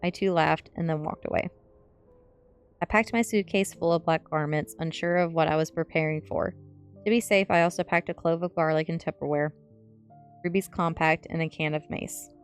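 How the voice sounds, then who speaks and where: A woman narrating a teen story aloud in an expressive audiobook style. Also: low-pitched, moderate pace, soft background music. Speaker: streamer reading a story aloud.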